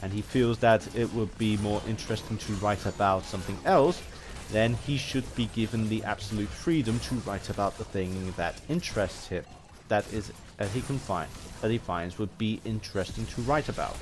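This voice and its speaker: A man giving an unscripted opinion ramble as a gaming vlogger, halting and often restarting his phrases. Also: on the low side, background noise.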